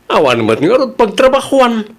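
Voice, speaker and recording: Male actor as cheeky jobless young brother, high voice, Ilocano Filipino accent, whiny, nonchalant, colloquial drama. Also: wildly swooping pitch, normal speed, full character voice. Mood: defensive. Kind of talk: colloquial audio drama acting.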